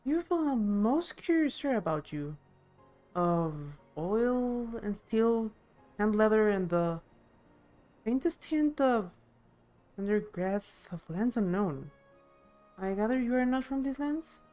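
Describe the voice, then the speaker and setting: A woman voicing a welcoming, nature-revering village chief in a video game, with formal, measured delivery. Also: fairly high pitch, animated, mellow, soft background music. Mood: curious. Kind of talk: game character voice-over.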